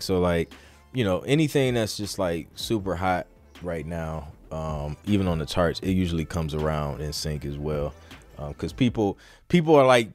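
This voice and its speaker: A man with a lowish voice hosts a casual podcast Q&A. He speaks in an informal, slangy way and rambles as he works through his thoughts. Music plays behind him. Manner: informal, slangy, rambling delivery that thinks aloud. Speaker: podcast host answering listener questions. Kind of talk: casual live Q&A chat.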